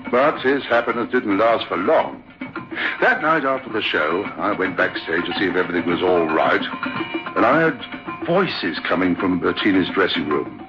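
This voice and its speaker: A man's voice: deep voice, expressive, moderate pace, over music. An actor playing an affable, sceptical theatre manager, chatty and hesitant, telling his tale in old-time radio drama style. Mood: eerie, suspenseful. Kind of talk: old-time radio drama, conversational first-person storytelling. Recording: dull, lo-fi old radio recording.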